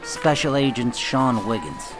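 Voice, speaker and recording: An actor playing a cool, commanding mafia boss with dry, understated menace in a radio drama. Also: male voice, medium pitch, lively intonation, a little slow, music in the background, lightly acted. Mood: sly, sardonic.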